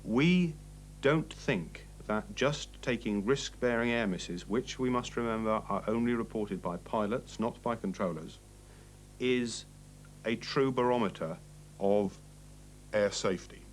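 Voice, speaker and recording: A man giving a formal interview comment as a spokesman, in measured, halting phrases. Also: lowish voice, slight background noise, rough recording.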